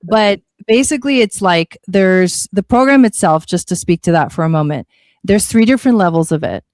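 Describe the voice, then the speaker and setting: Female spiritual teacher, interview guest. Slightly low voice, close dry sound, chatty explainer who rephrases as she goes. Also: a little mellow, no room sound. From born in Argentina, grew up in California. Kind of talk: casual explanatory interview talk.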